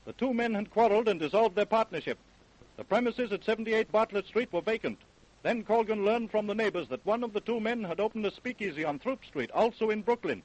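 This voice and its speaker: A man's voice: sits high, a little fast, darkish tone, low-quality recording. A man playing an urgent, solemn American narrator of an old-time radio crime drama. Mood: dramatic.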